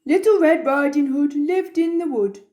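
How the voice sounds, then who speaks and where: Woman as jolly, high-pitched children's storyteller. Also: normal speed, mellow, natural voice. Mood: storytelling. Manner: exaggerated, giggly, playful. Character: Gruff, jolly childlike storyteller character who loves cake.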